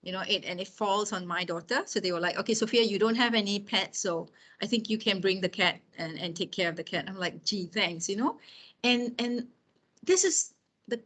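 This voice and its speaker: A woman's voice: medium pitch, lively intonation, normal speed, a bit bright. A woman in her fifties giving an informal lecture with personal anecdotes, talking conversationally and often checking in with her listeners. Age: 50s.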